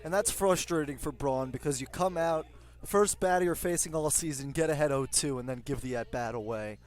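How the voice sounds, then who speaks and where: A man's voice: fairly high pitch, brisk. A man working as a baseball color commentator, explaining and analyzing in a conversational way.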